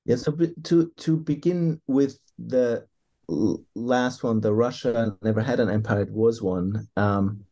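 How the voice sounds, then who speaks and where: A man answering interview questions as an author, thinking aloud with many pauses, fillers and restarts. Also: mid-range voice, mellow. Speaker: author and historian guest.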